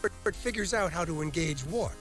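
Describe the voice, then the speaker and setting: A man's voice: fairly high pitch, expressive, a little fast, a bit bright, faint music behind. A man voicing an easygoing commanding officer of a starship in scripted video game dialogue. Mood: amused, realizing.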